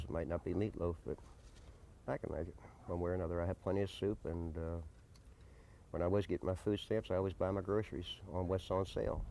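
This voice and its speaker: An American man answering interview questions in a deliberate, plain-spoken way with folksy turns of phrase. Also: deep voice, creaky, dark tone. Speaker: interviewee, desert camp resident. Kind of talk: unscripted documentary interview answers. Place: informal desert camp on location. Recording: noisy early 1990s field video recording.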